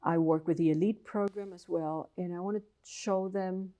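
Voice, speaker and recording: A woman's voice: on the low side, steady tone, moderate pace, mellow. A woman from Colombia with a Colombian Spanish accent, a professor answering interview questions in an earnest, explanatory way with frequent restarts. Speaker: professor and researcher, interview guest.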